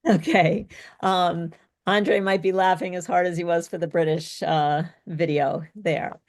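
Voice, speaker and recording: Encouraging woman speech coach, lower voice. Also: fairly even intonation, measured pace, a little mellow. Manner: encouraging, praising, explanatory. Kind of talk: interactive online workshop teaching.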